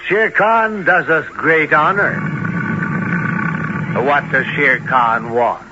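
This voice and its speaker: A man playing a dignified, principled father wolf in an old-time radio drama, speaking in a measured, formal way. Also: a little high, expressive. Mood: proudly defiant. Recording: muffled, noisy vintage radio recording.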